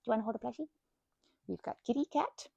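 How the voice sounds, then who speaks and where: A woman doing an ASMR best-friend roleplay, chatting in a rambling, scattered way with playful, childlike excitement. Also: a little high, fast, vocal fry, husky, dry recording, highs cut off. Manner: rambling, scattered, jumping between asides.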